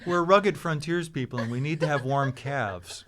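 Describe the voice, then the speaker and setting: A man's voice: fairly high pitch, moderate pace, fairly bright tone. A man who is a cast member on a podcast panel, bantering casually.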